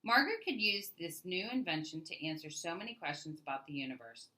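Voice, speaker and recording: Bright-voiced woman reading a picture book aloud. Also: fairly low pitch, very animated, brisk, poor audio. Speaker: read-aloud storyteller. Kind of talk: expressive children's picture book read-aloud narration.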